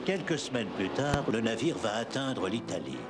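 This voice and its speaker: A man reading scripted narration for an educational history cartoon, with a husky voice. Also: medium pitch, big pitch swings, moderate pace, over loud music. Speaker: documentary narrator.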